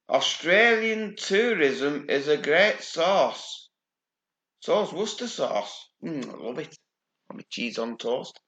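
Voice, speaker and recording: A man's voice: fairly high pitch, a bit bright, roomy sound, lo-fi sound. A man reading news reports aloud and breaking in with dry, sardonic asides and casual swearing. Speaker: channel host.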